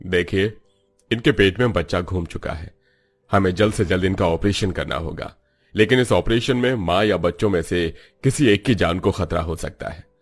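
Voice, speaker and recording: A calm male doctor in an animated story, voiced by a man. He speaks in a measured, lowish voice. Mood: grave.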